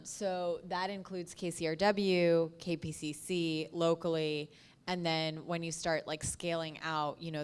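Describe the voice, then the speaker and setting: A woman's voice: lowish voice, smooth. An American woman speaking as a panelist, explaining things in an orderly, conversational way.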